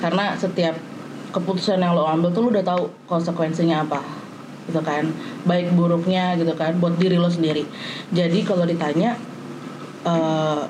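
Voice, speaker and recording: A woman's voice: fairly low pitch, background noise. A woman, talking casually about herself. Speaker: panel participant, creative worker. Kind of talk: casual personal talk.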